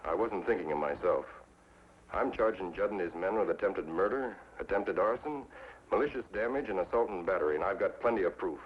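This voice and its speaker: Low-voiced earnest frontier newspaperman. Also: animated, brisk, a little hiss or hum. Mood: earnest, indignant. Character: stubborn, upright frontier newspaperman. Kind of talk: 1950s television Western acting. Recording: muffled 1950s TV soundtrack with music.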